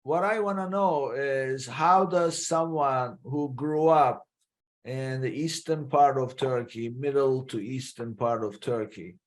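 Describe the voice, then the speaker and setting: A man's voice: mid-range voice, slow pace. A man hosting a podcast interview in a conversational style, summing up and posing questions. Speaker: podcast host.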